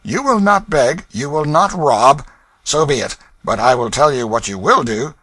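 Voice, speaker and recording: A crafty young beggar-thief, voiced by a male narrator sneers and bullies in a raised, bright voice. He shifts between scoffing and whining, all of it dry and close to the mic. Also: mid-range voice, expressive, moderate pace, no echo, pitched above the performer's own voice. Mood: bossy scheming. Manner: scornful, wheedling, bullying.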